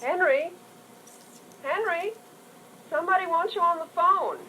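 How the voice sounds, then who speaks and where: A woman's voice: extremely high pitch, measured pace, pushed and bright, full character voice. A woman playing an obliging housewife in a scripted telephone role-play. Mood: calling out. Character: housewife answering evening phone, calling her husband. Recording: noisy old reel-to-reel recording.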